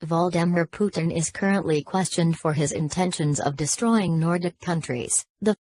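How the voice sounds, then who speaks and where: A synthetic text-to-speech woman's voice reading a scripted emergency broadcast in a flat, formal, impersonal manner. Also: on the low side, moderate pace, no echo. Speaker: emergency alert announcer.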